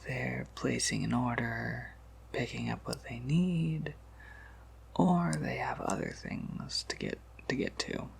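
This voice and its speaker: A man playing an ancient, courteous vampire apothecary keeper in an ASMR roleplay, in a breathy, gravelly whisper with a hesitant, unhurried manner. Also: medium pitch, very animated, exaggerated voice. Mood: gentle, welcoming. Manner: hesitant, unhurried, softly chuckling asides.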